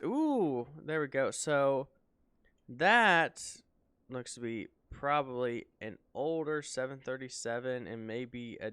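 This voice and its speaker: A man casually commenting on a flight simulator showcase in a relaxed, rambling way, full of ums, his voice slightly raspy. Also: mid-range voice, no room sound, clean studio sound. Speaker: flight sim video host.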